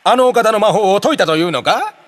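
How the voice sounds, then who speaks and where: A big, lazy cat king from an animated game, haughty and theatrical. The pitch leaps dramatically from low to high, ringing through a great hall. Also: fast. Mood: shocked, stern. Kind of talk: anime game voice acting. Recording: long echo of a great hall.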